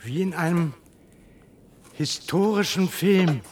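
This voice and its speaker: An actor playing a nervous stranded space traveller in a radio play, agitated, stammering and repeating words. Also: male voice, slow, soft background music. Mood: explaining. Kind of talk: German radio play acting. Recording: faint noise and low rumble.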